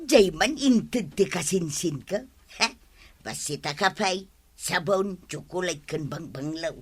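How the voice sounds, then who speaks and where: A woman's voice: lowish voice, lively intonation, slow pace, breathy edge, exaggerated voice. A woman with an Ilocano accent playing a stingy, grumbling village wife in a radio comedy drama, exclaiming and complaining.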